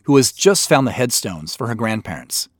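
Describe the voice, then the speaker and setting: Man narrating commentary with lively intonation. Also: mid-range voice, normal speed, dry recording. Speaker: show creator and commentator. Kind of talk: scripted behind-the-scenes commentary.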